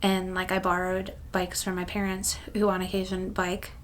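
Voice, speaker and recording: A woman's voice: mid-range voice, monotone, moderate pace. A woman of about thirty-one talking casually to her viewers in a rambling, self-deprecating way. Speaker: craft channel vlogger. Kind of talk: casual chatty vlog to camera.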